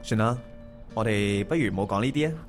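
A man's voice: fairly low pitch, fast talker, lightly acted. A man acting as a regretful, hesitant married man in a Cantonese radio drama, talking conversationally. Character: regretful, hesitant married man meeting his first love. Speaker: voice actor playing the male lead. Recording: music under the dialogue.

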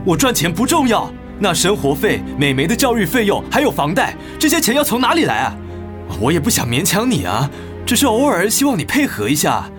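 A man's voice: sits high, wildly swooping pitch, fairly quick, over music. An actor playing a pushy, pragmatic businessman husband with a Taiwanese accent and a glib, persuasive manner in a radio drama. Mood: exasperated. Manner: glib, persuasive, exasperated when crossed. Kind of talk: Mandarin radio drama acting.

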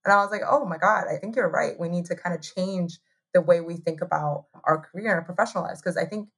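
A woman in her thirties from Taiwan tells her story as an interview guest. Her voice sits low and has a husky, breathy edge. She talks in a loose, chatty way, laughing often and leaning on "like". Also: fast talker, a bit bright. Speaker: guest, hot sauce co-founder.

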